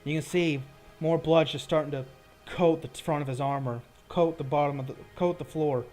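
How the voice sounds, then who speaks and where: A man's voice: on the high side, steady tone, normal speed, darkish tone, faint music behind. A man narrating a tabletop role-playing game as game master, casual and descriptive.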